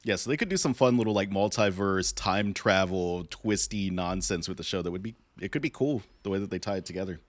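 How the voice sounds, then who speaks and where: A man with a fairly low voice chats casually as a podcast co-host. The sound is dry and close, with no room to it. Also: animated, a little fast, hardly any pauses, no echo. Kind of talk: casual chat.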